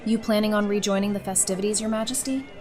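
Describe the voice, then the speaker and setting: A woman's voice: mid-range voice, steady tone, quick pace, a little mellow, soft background music, natural voice. A woman acting a friendly, easygoing wife and mother in an audio drama, casual and playful. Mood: playful, affectionate.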